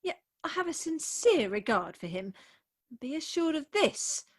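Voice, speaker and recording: A woman playing a prim, excitable young Victorian bride in a comic play, with an English accent and emphatic, theatrical delivery, recorded at home over a video call. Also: sits high, a little slow. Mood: prim, firm. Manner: theatrical, emphatic, indignant propriety. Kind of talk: comic stage-play acting.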